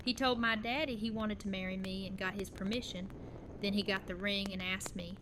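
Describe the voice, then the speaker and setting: A woman's voice: mid-range voice, steady tone, a little fast, lightly acted. A woman playing a tender, resolute young widowed mother in an audio drama, speaking in an earnest, conversational way. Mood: matter-of-fact, amused. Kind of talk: fan audio drama dialogue. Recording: clear background noise and ambience.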